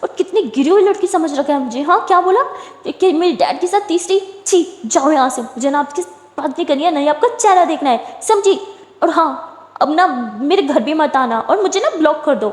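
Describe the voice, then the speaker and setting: A woman voicing a shrill, hurt young woman. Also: expressive, normal speed, reverberant, long reverb tail. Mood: angry. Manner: shrill pained cries, sarcastic retorts. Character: upset hurt young woman, sulky, sharp-tongued.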